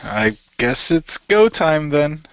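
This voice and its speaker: A man's voice: a little high, sing-song, slow, clear, resonant tone, dry recording, heavily acted. A man playing a laid-back, resigned hero in an amateur radio play, speaking in a casual, understated way. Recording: dull lo-fi voice recording.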